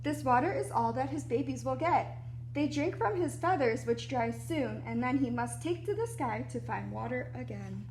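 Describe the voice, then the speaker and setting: A woman reading a children's picture book aloud like a preschool teacher, animated and explanatory, with little asides to young listeners. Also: sits high, moderate pace, a little hiss or hum, low-quality recording.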